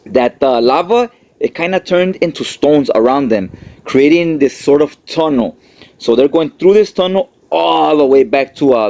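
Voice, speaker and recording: A man's voice: on the high side, a little mellow. Casual man explaining a comic story. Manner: conversational, explanatory, often adds tag questions. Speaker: comic book explainer, host.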